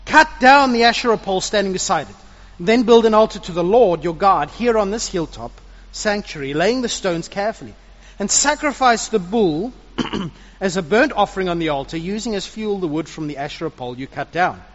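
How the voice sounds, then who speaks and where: A commanding divine messenger , as a preacher voices him, giving firm, steady reassurance. The recording is lo-fi and dull, and a long reverb rings through the hall. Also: male voice, high-pitched, fairly quick.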